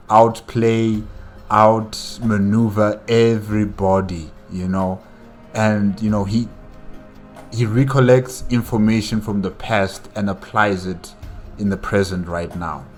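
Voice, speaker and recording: Slow-talking low-voiced male reactor. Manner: rambling, many fillers and laughs. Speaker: reaction channel host. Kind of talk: casual video reaction.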